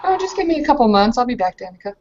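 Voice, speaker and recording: A woman's voice: fairly high pitch, big pitch swings, very fast, a little mellow, dull audio, voice pushed up. A woman playing a jovial, cocky, sweary young female werewolf brawler in a casual, cheeky way. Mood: jovial, brash.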